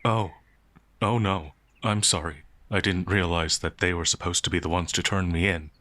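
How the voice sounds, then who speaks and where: A man playing a gentle, anxious werewolf outlaw in a fantasy ASMR roleplay, hesitant, stammering and apologetic. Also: low-pitched, steady tone, normal speed, dry recording. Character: gentle, timid, anxious male werewolf outlaw, easily frightened.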